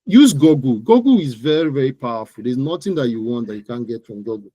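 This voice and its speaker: A man's voice: mid-range voice, lively intonation, fast, dark tone, no room sound, muffled recording. A man with a Nigerian accent, explaining things as an expert interview guest in an emphatic, instructive, conversational way, often repeating phrases.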